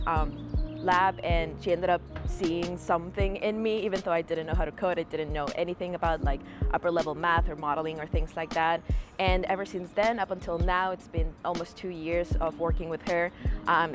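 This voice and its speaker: A young woman from Peru talks about her studies in an earnest, easygoing way, leaning on "um" and "like" as she thinks. Her voice sits on the lower side for a woman. Music plays clearly behind her. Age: 20s. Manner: earnest, frequent fillers like "um" and "like". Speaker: student interviewee. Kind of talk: casual interview answers.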